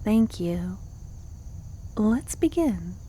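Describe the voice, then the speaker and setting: Female ASMR narrator, soft scripted storytelling, unhurried pace, medium pitch. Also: big pitch swings, a little hiss or hum. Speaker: ASMR roleplay creator and narrator.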